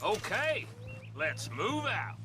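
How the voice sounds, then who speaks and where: An actor playing a boastful, touchy Wild West outlaw with an American accent and a folksy, defensive way of talking in a video game. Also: male voice, high voice, big pitch swings, takes it slowly, heavily acted. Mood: businesslike. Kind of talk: video game cutscene acting.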